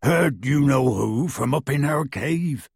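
A man voicing a huge bearded half-giant gamekeeper who talks in rough, clipped country speech and booms his words. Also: lively intonation, slow pace, no echo, clean studio sound, heavily acted. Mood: gruff. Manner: rustic dropped-word speech, booming.

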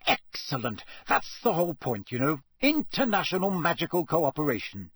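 A man's voice: sits high, big pitch swings, fairly quick, husky, no echo, clean studio sound, voice pushed up, full character voice. A man voicing a pompous, fussy, self-important young man in an audiobook. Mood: pompously pleased.

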